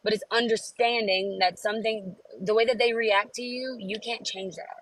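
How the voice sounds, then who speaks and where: A woman's voice: mid-range voice, fairly even intonation, normal speed, no echo, rough recording. Reflective woman, close dry sound. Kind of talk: reflective personal talk.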